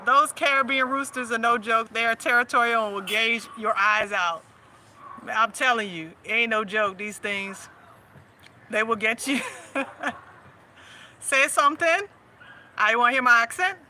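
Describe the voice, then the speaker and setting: A woman's voice: on the high side, unhurried, long pauses, pushed and bright, slight background noise. A woman with a Southern US accent hosting a casual chat, laughing often and teasing her audience. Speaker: host.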